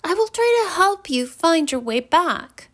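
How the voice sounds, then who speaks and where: Kind little storybook girl voiced by a woman, very high and lilting, eager, caring, childlike. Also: sing-song, measured pace, full character voice.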